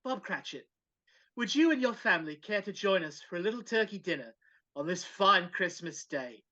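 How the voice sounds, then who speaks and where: A woman's voice: on the low side, lively intonation, moderate pace, pushed and bright. A woman playing a grumpy but generous old male miser in a live dramatic reading over a video call. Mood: generous, teasing. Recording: slightly dull video call audio.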